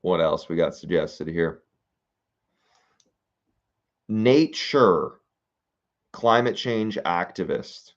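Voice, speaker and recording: A young man with a low-pitched voice chats casually on a livestream, picking through viewer suggestions. He muses aloud with plenty of ums and restarts. The sound is a little muffled and lo-fi. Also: animated. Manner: musing aloud, reading comments, halting with fillers. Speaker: streamer reading viewer suggestions.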